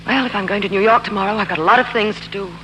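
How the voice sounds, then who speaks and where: A woman's voice: mid-range voice, rapid-fire, a bit bright, faint music behind, close to an everyday voice. An actress playing a spirited, independent woman, tender but firm, direct and emotional in 1940s radio drama style. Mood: bright, affectionate. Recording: noisy, humming 1940s radio recording.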